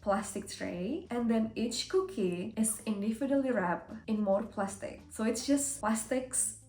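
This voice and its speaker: A woman's voice: a little high, animated, measured pace, a little mellow. A woman in her twenties from Indonesia, chatting casually to camera and often acting out other people's voices. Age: 20s. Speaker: vlogger. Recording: roomy sound with faint music.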